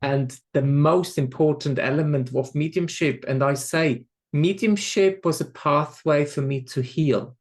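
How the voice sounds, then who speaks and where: A man's voice: on the high side, unhurried, hardly any pauses. A man with a Swiss accent, a medium being interviewed on a podcast, speaking reflectively and often restarting and repeating words. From Switzerland.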